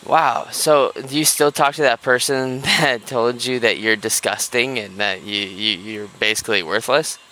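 A man's voice: medium pitch, fairly even intonation, moderate pace, hardly any pauses, bright, forceful tone. A man hosting a podcast, asking curious, probing follow-up questions. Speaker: podcast host. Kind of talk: casual interview questions.

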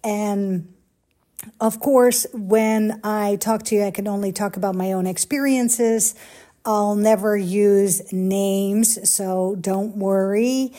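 A woman's voice: medium pitch, steady tone, slow, warm tone. A middle-aged Dutch woman with a Dutch accent hosting a solo podcast, chatting casually and encouraging her listeners directly. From Netherlands. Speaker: podcast host.

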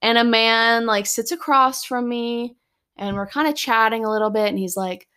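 A woman's voice: on the high side, moderate pace, sharp tone, smooth. An American woman in her twenties, a podcast co-host telling stories in a chatty, sarcastic way. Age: 20s. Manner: chatty storyteller, sarcastic asides. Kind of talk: casual chat.

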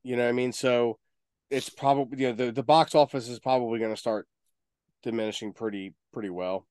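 A man co-hosting a casual talk show, with a medium-pitched voice and a very dry, close sound. He talks informally, wandering through his thoughts and cursing freely. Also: steady tone, fairly quick, darkish tone, smooth, dry recording. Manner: informal, swearing, rambling. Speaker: podcast co-host. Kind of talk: casual chat about video game movies.

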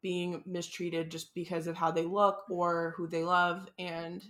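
A woman guest on a podcast, talking casually and warmly with constant "like" fillers. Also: fairly low pitch, level tone, a little slow. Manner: gushing, filler-heavy, lots of "like".

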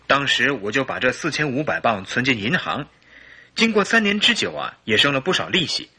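A man's voice: medium pitch, very animated, a little fast, bright, forceful tone, breathy edge, full character voice. A man acting a polite, earnest, troubled English husband in his early thirties in a Chinese radio drama. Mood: earnest recounting.

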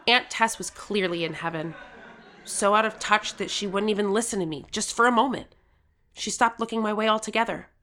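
A woman's voice: mid-range voice, fairly quick, a bit bright, lightly acted. A woman playing an earnest, determined thirteen-year-old contestant who narrates their own story in an audio drama. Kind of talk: scripted first-person audio drama narration.

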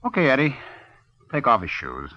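A man's voice: mid-range voice, huge pitch swings, moderate pace, sharp tone, heavily acted. An actor playing a ruthless, sarcastic crime boss in an old radio drama, with clipped, commanding tough-guy delivery. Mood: threatening. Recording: muffled, noisy old radio recording.

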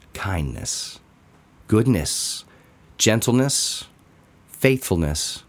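A man reading a scripted daily devotional in a measured, deliberate way with pauses between phrases. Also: sits low.

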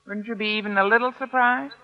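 An actress playing a wry, wisecracking American high school English teacher in an old radio comedy, with dry, articulate delivery. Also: female voice, fairly even intonation, fast talker, natural voice. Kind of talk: 1940s-50s American radio comedy acting and narration. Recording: muffled old-time radio recording.

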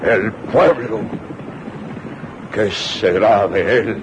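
A man's voice: lowish voice, very slow. An actor playing a weary exiled general in a radio drama, solemn and rhetorical, grieving for the homeland he is leaving. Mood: sorrowful, resigned. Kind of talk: 1980s radio drama acting. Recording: muffled, noisy old radio broadcast tape.

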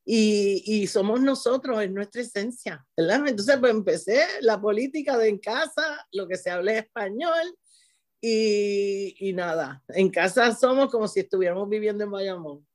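A woman's voice: mid-range voice, expressive, normal speed. A middle-aged woman from Puerto Rico with a Puerto Rican accent, a teacher talking as an interview guest, restarting her phrases often and tagging them with "¿verdad?". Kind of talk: conversational interview.

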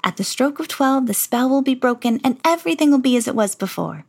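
Storybook fairy: a woman doing a kindly, forgetful godmother, high and fluttery. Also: animated, brisk, creaky, darkish tone, heavily acted. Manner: kindly, scatterbrained. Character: gentle, fussy fairy godmother, older woman.

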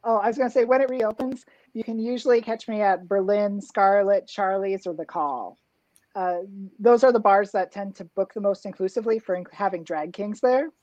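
A woman's voice: medium pitch, normal speed, dark tone, no echo, muffled recording. A woman in her thirties, a drag king performer, talking reflectively in a casual interview with lots of fillers and restarts, heard over a video call. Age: 30s.

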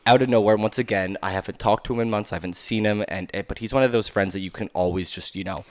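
A man's voice: lowish voice, steady tone, quick pace, no room sound, clean studio sound. A young man co-hosting a podcast, chatting in an upbeat way with frequent restarts. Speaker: podcast co-host. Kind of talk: casual podcast chat.

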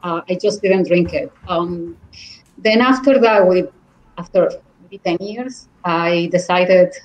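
A woman's voice: fairly low pitch, slow pace, muffled recording. A woman from Venezuela with a Venezuelan Spanish accent and Spanish as her first language, chatting casually on a podcast, laughing often. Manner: chatty, laughs often, adds tag questions. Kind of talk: casual chat.

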